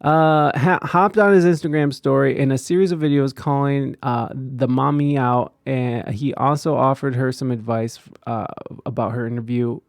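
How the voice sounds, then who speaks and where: A man's voice: medium pitch, steady tone, normal speed, hardly any pauses, no room sound, clean studio sound. A man streaming live, commenting on clips with sarcastic asides and repeated phrases.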